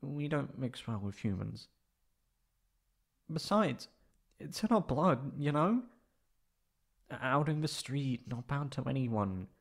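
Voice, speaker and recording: A man playing a prickly young catboy in an ASMR roleplay, haughty but secretly soft-hearted and stammering when flustered. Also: mid-range voice, lively intonation, takes it slowly, vocal fry, pauses often, full character voice. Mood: hesitant, wistful.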